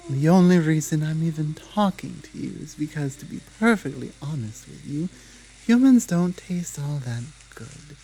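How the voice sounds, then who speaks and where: A woman playing a dominant, menacing female werewolf pack leader in a slow roleplay ASMR drama, speaking deliberately and with taunting command. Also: low-pitched, animated, dark tone, low-quality recording. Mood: stern, bargaining.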